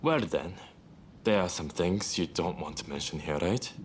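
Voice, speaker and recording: A man's voice: deep voice, lively intonation, normal speed, slight background noise. A man playing a roguish, laid-back young revolutionary in a video game cutscene, with a teasing, nonchalant delivery. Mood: languid, dismissive.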